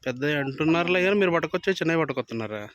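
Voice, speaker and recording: A man's voice: on the high side, animated, racing, fairly bright tone. A man giving casual vlog commentary outdoors, bantering as he hosts. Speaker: vlog host filming children fishing. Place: outdoors by water.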